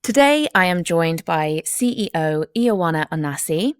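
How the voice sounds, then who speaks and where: Low-voiced woman podcast host. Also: animated, a little slow, clean tone, no room sound, clean studio sound. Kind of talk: podcast interview hosting.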